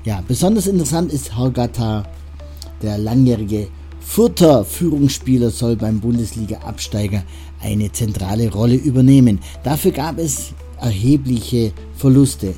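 A man's voice: medium pitch, expressive, a little slow, warm tone. A man hosting a radio show in a chatty, rambling way, with self-deprecating asides between music and sports news. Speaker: radio host. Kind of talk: casual radio moderation.

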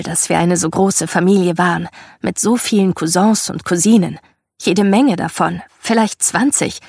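A woman narrating a first-person memoir as a scripted audiobook, in a measured, reflective storytelling manner. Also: mid-range voice, vocal fry, darkish tone, breathy edge, no echo, studio quality.

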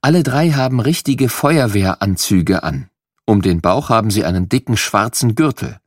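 A man reading a scripted children's story, animated and clearly enunciated for young listeners. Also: fairly low pitch, moderate pace, dry recording, studio quality.

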